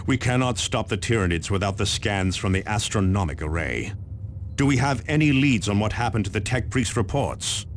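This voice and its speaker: An actor playing a grave, resolute space marine captain, solemn and commanding in a video game briefing. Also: male voice, lowish voice, expressive, moderate pace, a little hiss or hum, close to an everyday voice. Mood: grave, urgent.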